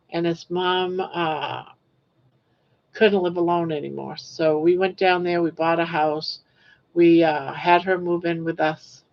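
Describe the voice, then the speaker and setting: An older woman from Maine with a southern Maine accent, hosting a casual livestream chat while reading viewer comments in a rambling, self-correcting way with soft trailing endings. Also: on the low side, highs cut off. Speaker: livestream host.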